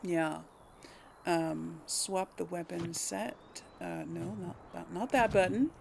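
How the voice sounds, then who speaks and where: A woman's voice: lowish voice, takes it slowly, gravelly, darkish tone, slight background noise. A woman giving casual, hesitant let's play commentary, thinking aloud to viewers as she plays. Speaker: host of a gaming let's play.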